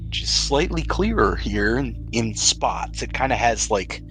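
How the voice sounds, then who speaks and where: A man runs a tabletop roleplaying game as its game master. He describes scenes in a conversational way with casual asides, at a middling pitch. Music plays clearly behind his voice. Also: expressive, measured pace, fairly bright tone, rough recording.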